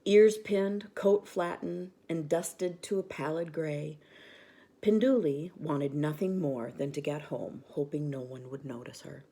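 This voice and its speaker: A woman reading a children's bedtime story aloud with expressive narration. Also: low-pitched, unhurried.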